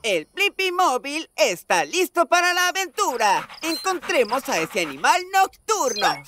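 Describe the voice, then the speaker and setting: A woman's voice: sits high, big pitch swings, measured pace, bright, forceful tone, a little hiss or hum, exaggerated voice. A woman voicing a curious, friendly young male explorer in a children's cartoon, wide-eyed and exclamatory. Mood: enthusiastic. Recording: children's music behind.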